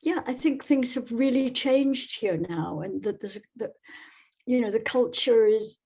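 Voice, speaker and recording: Woman from Scotland, podcast guest. Slightly husky voice, thoughtful and halting delivery. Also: a little high, dark tone. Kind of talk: conversational interview answers.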